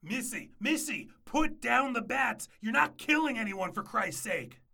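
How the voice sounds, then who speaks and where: A woman's voice: fairly high pitch, animated, a little slow, creaky, bright, forceful tone, breathy edge, exaggerated voice. A woman playing a scheming, exasperated best friend in an audio drama.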